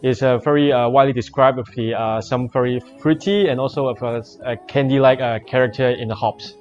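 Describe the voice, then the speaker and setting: A man explaining beer tasting casually on a livestream, in halting, hesitant phrases. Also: medium pitch, fairly even intonation, darkish tone. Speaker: brewery packaging supervisor co-hosting a tasting. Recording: muffled livestream audio with faint music. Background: faint background music.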